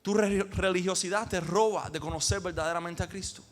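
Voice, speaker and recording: A man from Cuba, preaching a sermon as pastor with emphatic swells and pauses. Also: sits high, steady tone.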